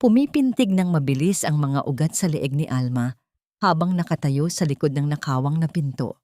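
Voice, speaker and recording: A woman's voice: deep voice, animated, normal speed, mellow, dry recording, clean studio sound. A woman narrator reading a dramatic scripted story in solemn, literary phrasing.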